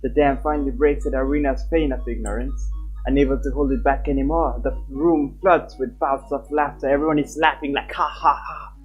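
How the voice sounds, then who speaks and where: A streamer reading a visual novel aloud live and chatting casually with viewers. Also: male voice, medium pitch, dull audio, faint music behind. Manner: animated, chatty, quick laughs.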